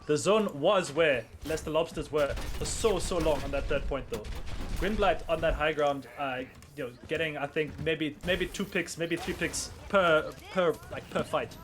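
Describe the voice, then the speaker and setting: A man casting a live esports match, with fast, playful, slangy banter. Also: fairly high pitch, fairly bright tone, slight background noise. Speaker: esports caster. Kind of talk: live play-by-play commentary.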